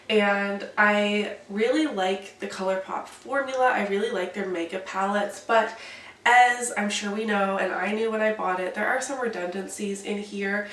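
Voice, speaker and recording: A woman's voice: medium pitch, fairly bright tone. Congested woman in an echoey room. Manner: chatty, detailed product talk. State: congested from a cold. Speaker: beauty vlogger. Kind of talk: casual makeup update vlog. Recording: echoey room, distant mic, faint hiss.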